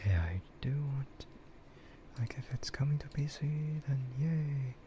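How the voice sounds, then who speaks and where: A man chatting casually on an ASMR livestream in a soft, breathy, often whispered voice, rambling in a relaxed, hesitant way. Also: mid-range voice, measured pace. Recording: muffled with clear background noise.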